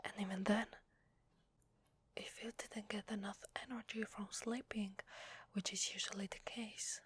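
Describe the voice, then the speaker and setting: A woman with an Italian accent voicing a weary, desperate vampire in a hesitant, breathy ASMR roleplay whisper. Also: medium pitch, flat delivery, a bit bright. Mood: weary, confiding. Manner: hesitant, slow, confiding. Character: weary, desperate, apologetic young-sounding female vampire.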